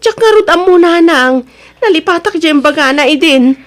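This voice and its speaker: High-pitched, distraught young Ilocano mother acted by a woman. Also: lively intonation, normal speed. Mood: worried. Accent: Ilocano Filipino. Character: distraught young mother, trusting then anguished over her child. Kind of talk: Ilocano radio drama acting.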